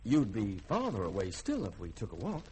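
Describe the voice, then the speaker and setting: Glib, flirtatious lieutenant, very animated. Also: male voice, fairly low pitch, moderate pace. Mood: coaxing. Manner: coaxing, glib. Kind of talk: 1950s radio drama acting. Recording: noisy vintage radio recording.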